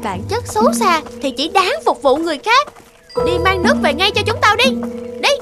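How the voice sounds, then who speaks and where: Over loud music, a very high-voiced young cartoon goddess. She is smug and scheming, and her pitch swoops theatrically as she taunts. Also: big pitch swings, fairly quick. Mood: contemptuous. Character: haughty, scheming, mocking young sea goddess. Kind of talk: animated cartoon dubbing.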